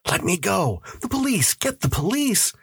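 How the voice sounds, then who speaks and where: Man acting out panicked middle-aged shopkeeper, bewildered, urgent pleading, high and husky, swooping pitch, audiobook drama. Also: sing-song, moderate pace, dry recording, heavily acted. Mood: frantic, horrified. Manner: frantic, pleading, breathless urgency.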